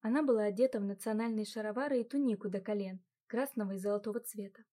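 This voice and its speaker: A woman narrating an audiobook in an even, unhurried reading. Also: mid-range voice. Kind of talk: scripted narration.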